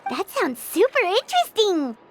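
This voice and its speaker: Game fairy sidekick: childlike, excitable, extremely high and animated. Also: female voice, normal speed, full character voice. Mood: amazed. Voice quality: squeaky, childlike. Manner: refers to self in third person, exclamatory. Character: small excitable floating fairy companion, girlish and curious. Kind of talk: video game voice acting. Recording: background music under the voice.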